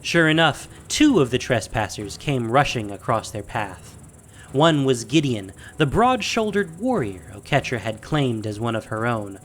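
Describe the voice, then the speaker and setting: Animated male fantasy narrator. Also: mid-range voice, unhurried, slight background noise. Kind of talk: scripted dramatic fantasy narration.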